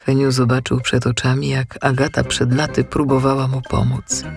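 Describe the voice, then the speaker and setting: A man's voice: medium pitch, flat delivery, moderate pace, vocal fry, over music. A man narrating a scripted children's audiobook story.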